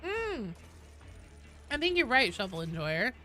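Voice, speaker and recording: A woman's voice: fairly high pitch, huge pitch swings, a little slow, bright, forceful tone, soft background music. A woman chatting casually and expressively as she hosts a gaming livestream, reading out and answering her viewers. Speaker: live streamer, host.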